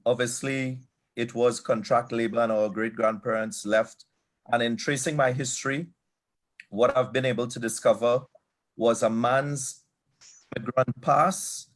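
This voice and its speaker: A man from Trinidad and Tobago with a Trinidadian accent, giving earnest remarks as a guest on a video call, often pausing with fillers. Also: medium pitch. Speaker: guest speaker. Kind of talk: online event remarks.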